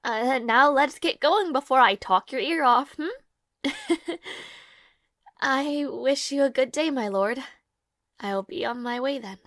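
Woman voicing a devoted young maid, high voice, slow pace, soft, hesitant, secretly heartbroken, audio roleplay. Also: animated, no echo, studio quality, heavily acted. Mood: polite, nervous. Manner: soft, hesitant, halting, polite.

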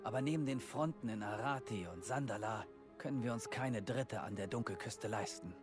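Young royal for a fantasy game, male actor, formal and earnest, measured delivery over soft music. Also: on the low side, lightly acted. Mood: earnest, placating. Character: young human king, measured, earnest and conciliatory. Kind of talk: video game voice acting.

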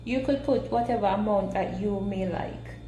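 A woman giving a casual, step-by-step home recipe demonstration in simple, unhurried instructional talk. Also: mid-range voice, dark tone. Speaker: home cooking vlogger. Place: small home kitchen, indoors. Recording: roomy echo, clear background noise.

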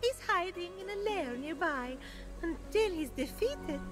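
A very high, slow-spoken goddess of the trees for a video game. She is dainty, courteous and tender, and music plays clearly behind her. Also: lively intonation, a bit bright, full character voice. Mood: serene, gracious. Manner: gracious, dainty, measured. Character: gentle, courteous goddess turned talking tree, dreamy and tender. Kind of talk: video game cutscene acting.

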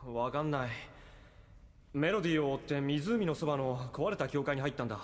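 An actor playing a brash, hot-headed young adventurer with rough, casual speech in an anime audio drama. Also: male voice, on the high side, brisk, natural voice. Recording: long hall reverb, noisy.

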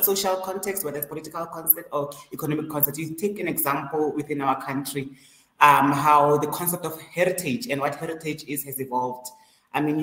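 A woman, a panelist explaining her views at length on an online panel over a video call. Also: low voice. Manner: explanatory, rising emphasis. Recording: roomy echoing video call.

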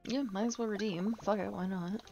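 A woman's voice: mid-range voice, steady tone, brisk, creaky, lo-fi sound. A woman giving casual gameplay commentary, thinking aloud and muttering to herself, with the odd casual swear. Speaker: game streamer. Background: game music.

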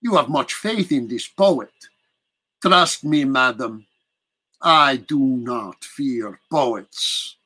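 A man voicing an aged aristocratic vampire prince who speaks slowly and commandingly. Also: medium pitch, lively intonation, bright, forceful tone, husky, exaggerated voice. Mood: cold. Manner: slow, deliberate, commanding.

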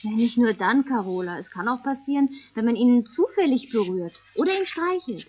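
Lively, husky, high-voiced mother. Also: normal speed. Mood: patient, frank. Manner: explains plainly and patiently. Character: patient, frank, affectionate mother explaining to children. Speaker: actor in a children's audio play. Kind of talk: educational radio play dialogue. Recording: dull lo-fi tape recording.

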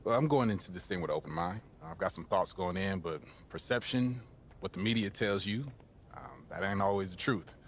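A young man playing a confident, guarded, easygoing basketball draft prospect in a video game cutscene, speaking casually with measured phrasing. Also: low voice, slight background noise, lightly acted. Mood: diplomatic. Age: young adult.

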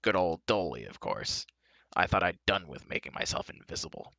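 A man voicing a gruff, impatient dwarf in a children's storybook reading, growling and muttering bluntly. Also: sits low, very animated, normal speed, bright, forceful tone, no echo, pitched below the performer's own voice, full character voice. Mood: grumbling. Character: gruff, sharp-tongued, impatient male dwarf.

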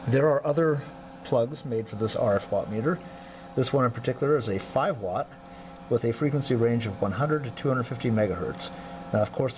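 A man giving a methodical hands-on demonstration of electronics test equipment as an instructor, clearing his throat now and then, at a workbench indoors. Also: on the low side, noisy background. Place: indoor workroom.

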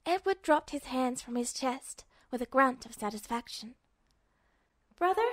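A woman's voice: high-pitched, no echo. A woman reading a story aloud as a scripted fiction narrator. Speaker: audiobook narrator.

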